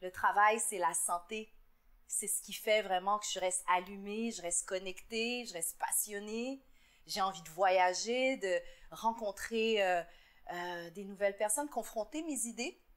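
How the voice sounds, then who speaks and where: A woman's voice: medium pitch, measured pace. Earnest Québécois woman, reflective. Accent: Québécois French. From Montreal, Quebec. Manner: earnest and reflective, with frequent fillers and repeated words. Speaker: interviewee, entrepreneur. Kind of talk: interview answers.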